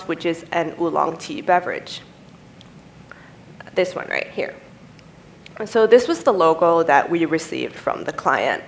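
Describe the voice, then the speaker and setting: A young woman whose first language is Thai presents at a design symposium, speaking in a low, steady, explanatory way. She talks into a podium microphone on an indoor stage. Faint room ambience sits behind her voice. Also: slight background noise, poor audio. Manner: measured, explanatory, steady delivery. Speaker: type designer giving a conference talk.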